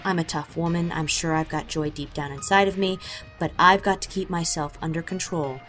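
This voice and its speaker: A woman with a slightly low voice talks through her thoughts on the character she plays, circling back with fillers and restarting her sentences. Faint music sits under her. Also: steady tone, moderate pace, a bit bright. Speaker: voice actor for an audio drama. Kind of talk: interview answers.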